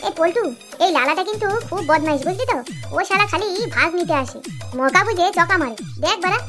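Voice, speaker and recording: A very high, childlike cartoon voice for a mischievous village youth. He rattles off crude, slangy banter at a quick pace, cocky and bickering, with light music underneath. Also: animated. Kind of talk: comic cartoon dialogue.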